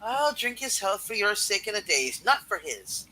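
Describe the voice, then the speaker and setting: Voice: medium pitch, very animated, normal speed, bright, forceful tone, low-quality recording. A performer voicing a long-suffering mother in a radio play reading. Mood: grudging. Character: Mrs. Cratchit, sorrowful long-suffering mother.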